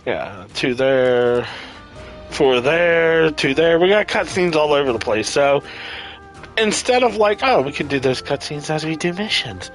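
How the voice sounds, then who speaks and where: A man's voice: a little high, animated, measured pace, soft background music. A man doing casual live gameplay commentary as a streamer, irreverent, sarcastic and freely profane. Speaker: game streamer.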